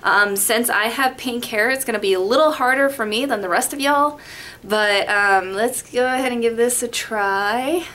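A woman's voice: a little high, fairly bright tone, a little hiss or hum. A young woman from Las Vegas, chatty and rambling, talking through a makeup tutorial into a webcam in her bedroom. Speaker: beauty vlogger. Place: bedroom at home. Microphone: webcam in front.

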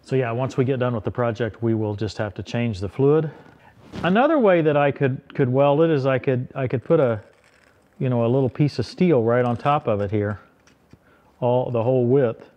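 A man's voice: medium pitch, normal speed, a little mellow, lo-fi sound. Middle-aged man thinking aloud in a workshop. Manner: thinking aloud, hesitant restarts. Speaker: tractor repair channel host. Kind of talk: casual workshop explanation to camera. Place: workshop beside a tractor, indoors.

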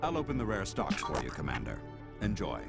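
Casting: alien requisitions officer, game, very deep, animated intonation, businesslike and courteous. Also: male voice, brisk, vocal fry, over loud music, full character voice. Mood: apologetic. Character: businesslike alien male police quartermaster. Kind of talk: conversational sci-fi game dialogue.

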